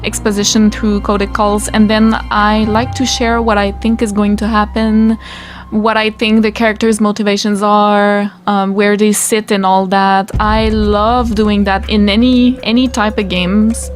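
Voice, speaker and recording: A young woman with a Quebec French accent, chatty and excited, introducing her pre-recorded let's-play of a video game. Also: mid-range voice, a little slow. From Quebec, Canada. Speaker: gaming YouTuber. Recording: game music playing behind.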